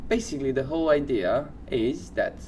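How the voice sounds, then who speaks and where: A man co-hosting a podcast, casually sharing odd facts in halting, informal phrases. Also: medium pitch, lively intonation, a little mellow, slight background noise. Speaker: podcast co-host.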